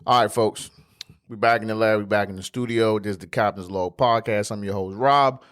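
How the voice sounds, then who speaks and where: A man, hosting a casual solo sports podcast in his home studio, stuffy and congested, talking in a relaxed, slangy way. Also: fairly low pitch, a little fast. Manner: relaxed, conversational, loose slang. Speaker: podcast host.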